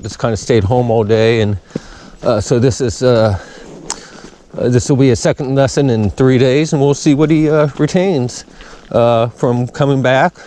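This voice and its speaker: A calm, plain-spoken man explains horse training from the saddle, his mellow voice caught by a head-mounted action camera outdoors. He talks at an easy pace with plenty of fillers. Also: medium pitch, a little hiss or hum. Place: outdoors on a wooded trail, riding a horse.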